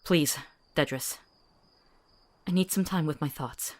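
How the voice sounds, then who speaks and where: A woman's voice: sits low, unhurried. A woman playing a compassionate, earnest and stubborn companion to a monster hunter in a visual novel. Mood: subdued.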